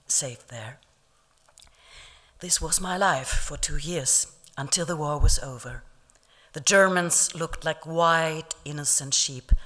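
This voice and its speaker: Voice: medium pitch, sharp tone. Solemn reader in a reverberant hall. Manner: measured, solemn reading with small slips. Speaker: reader at a commemorative ceremony. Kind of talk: reading a first-person survivor testimony aloud. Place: event hall.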